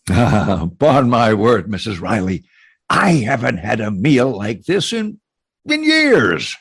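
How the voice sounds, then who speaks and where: An actor playing a well-mannered, genial company boss in a radio comedy, hesitant and sputtering but firm. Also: male voice, lowish voice, wildly swooping pitch, takes it slowly, husky, no echo, studio quality, heavily acted. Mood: genial, overwhelmed. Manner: hesitant, sputtering, formal politeness. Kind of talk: old-time radio comedy acting.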